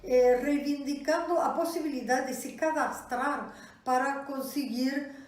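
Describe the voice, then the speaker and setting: An older woman from Argentina, a professor speaking Portuguese with an Argentine Spanish accent, giving a talk with hesitant pauses and many fillers. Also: high voice, fairly even intonation. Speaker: guest speaker, university professor. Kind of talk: event talk. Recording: echoey room, distant mic.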